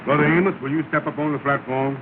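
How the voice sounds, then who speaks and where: A man's voice: on the high side, fairly even intonation, quick pace, close to an everyday voice. An actor playing a pompous male lodge leader who presides with grandiose, garbled big words, in 1930s film comedy. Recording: hissy, tinny 1930 film soundtrack.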